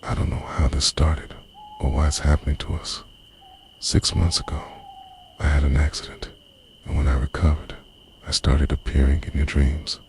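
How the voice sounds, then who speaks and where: A man narrates a scripted romantic ASMR roleplay in a hushed, breathy, confessional voice that often slips into a whisper. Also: a bass voice, steady tone, normal speed, a little hiss or hum.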